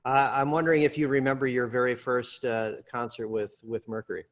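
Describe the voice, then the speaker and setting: A male interview host asks his guest questions in an admiring way. He hesitates often and fills his pauses with "uh" as he shapes each question. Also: mid-range voice, little pitch movement, a bit bright. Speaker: interview host, executive director. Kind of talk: interview conversation.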